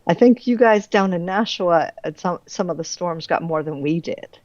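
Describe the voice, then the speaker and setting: A middle-aged woman chatting casually as an author in an interview, animated and quick to laugh. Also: lowish voice, dry recording, muffled recording. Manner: animated, laughs readily through her anecdotes.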